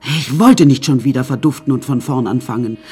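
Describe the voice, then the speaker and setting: A woman's voice: a bass voice, fairly quick, darkish tone, husky, exaggerated voice. An actress playing a flamboyant, unsinkable woman who narrates her life in a radio play in a wry, chatty, theatrical way. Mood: wry, resolute.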